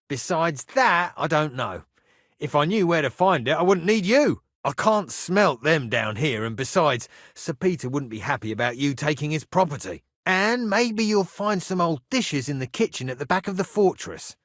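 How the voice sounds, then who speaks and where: A grumbling castle blacksmith from a medieval video game. His voice sits high for a man and swings animatedly as he orders, scoffs and mocks in blunt, colloquial phrases. The sound is dry and close, with no room on it. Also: no echo. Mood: matter-of-fact. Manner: blunt, sardonic, exasperated, rough colloquial phrasing. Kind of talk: video game character dialogue.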